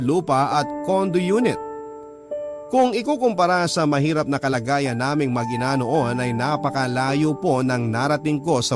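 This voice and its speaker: A man's voice: a little high, natural voice. A man playing an earnest, hardworking storyteller who narrates his own life politely and reflectively in a radio drama.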